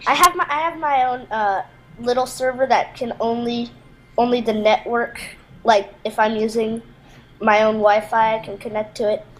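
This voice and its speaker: A woman's voice: fairly high pitch, fairly even intonation, normal speed, poor audio. A woman speaking casually as a podcast guest, asking simple questions. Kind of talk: casual chat.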